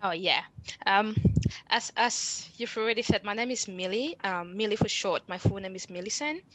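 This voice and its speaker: A woman's voice: mid-range voice, normal speed, fairly bright tone, poor audio. A woman from Cameroon speaking as an interview guest in a conversational style. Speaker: podcast guest, founder and management consultant.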